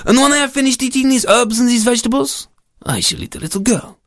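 A storyteller voicing a huge, bullying mountain goat who challenges people and makes drawn-out, boastful threats in a storybook tale. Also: medium pitch, no room sound, studio quality, pitched above the performer's own voice, natural voice. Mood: menacing boast. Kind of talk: storybook character voice.

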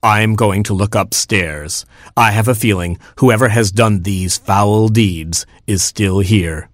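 A man's voice: fairly low pitch, slow, no room sound. A man voicing a proud, grouchy father rooster in a storybook, with pompous delivery. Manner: pompous, declamatory. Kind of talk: storybook character voice.

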